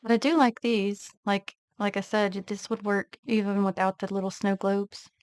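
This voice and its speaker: A woman vlogger casually narrating her nail art step by step, thinking aloud in a relaxed, unhurried way. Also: mid-range voice, dry recording, clean studio sound.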